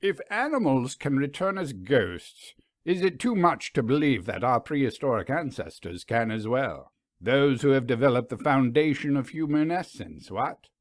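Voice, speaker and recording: An eager English professor of strange creatures, acted out by a man reading a story. He is scholarly, frank and persuasive, with very animated intonation. The sound is dry and free of room echo. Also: mid-range voice, normal speed, natural voice.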